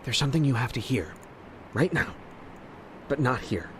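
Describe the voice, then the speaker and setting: A man's voice: expressive, moderate pace, natural voice. A man playing a nervous, secretive character in game drama, urgent and paranoid. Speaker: voice actor in game cutscenes. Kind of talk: dramatic scripted dialogue. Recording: clear rumbling background noise.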